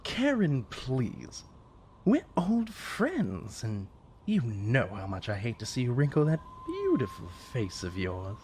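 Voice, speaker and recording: A man's voice: medium pitch, wildly swooping pitch, takes it slowly, a little mellow. A man playing a suave, condescending weather-mage villain in an audio drama, taunting with mock politeness. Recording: clear background noise.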